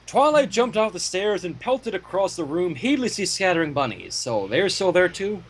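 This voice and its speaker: A man with a fairly high voice reads a story aloud as a narrator. His delivery swings up and down in an animated way, and he slips into casual commentary. There is faint noise in the recording. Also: normal speed. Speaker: fan fiction reader and commentator.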